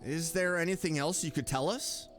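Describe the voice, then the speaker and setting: Man game streamer, high bright voice, playful, casual, faint music behind. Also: normal speed. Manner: playful, talks back to game characters. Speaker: streamer, gaming host. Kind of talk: casual gameplay commentary.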